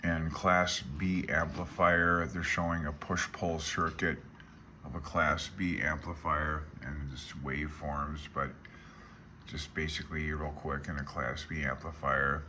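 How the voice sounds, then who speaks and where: Male instructor, extremely low pitch, bright edge to the voice, folksy and offhand while reading and explaining. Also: little pitch movement, unhurried, slight background noise. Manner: folksy, offhand, thinking aloud. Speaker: instructor reading through a radio handbook. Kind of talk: informal explanation mixed with reading aloud.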